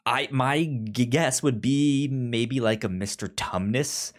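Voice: mid-range voice, takes it slowly. A podcast co-host in casual book discussion, speaking hesitantly and often repeating words and syllables.

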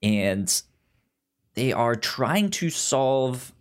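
A man co-hosting a podcast in casual chat, summarizing and reviewing in a halting way, often stammering and repeating words. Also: mid-range voice, sing-song. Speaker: podcast co-host.

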